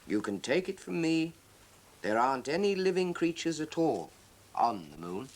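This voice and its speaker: A man's voice: a little high, sing-song, moderate pace. A man voicing a jolly, kindly old man, hearty and reassuring, in a children's storybook reading. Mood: amused, reassuring. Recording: hissy 1970s TV soundtrack.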